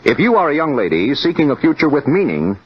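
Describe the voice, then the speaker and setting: An American male announcer in formal mid-century radio style. His medium-pitched voice is husky and moves with lively intonation. The old recording is dull. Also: quick pace.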